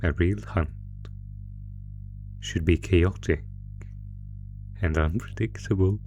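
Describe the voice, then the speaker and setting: A man's voice: low voice, fairly even intonation, slow, creaky, mellow, faint music behind, heavily acted. A man voicing a sadistic, predatory monster from under the bed in a horror audio roleplay, taunting the listener with mock-sweet, savoring menace. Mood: sinister, anticipating.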